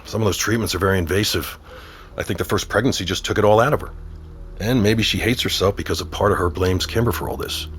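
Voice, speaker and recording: An actor playing a middle-aged small-town sheriff and father in an audio drama, wry, measured and protective in his talk. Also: sits low, a bit bright, slight background noise. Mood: grave, gentle. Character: small-town sheriff dad, teasing yet protective and steady. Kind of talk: naturalistic audio drama acting.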